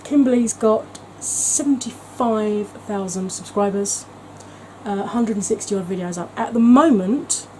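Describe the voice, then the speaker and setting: A woman's voice: medium pitch, unhurried, a little mellow, slight background noise, poor audio. A woman talking in a chatty, gushing way as a beauty vlogger, with frequent ums. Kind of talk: casual vlog chat.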